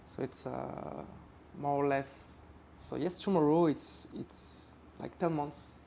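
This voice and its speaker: A young man from France with a French accent, chatting casually as a podcast guest and often pausing to search for English words. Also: on the high side, steady tone, creaky, warm tone, a little hiss or hum. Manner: halting, searches for English words with many fillers.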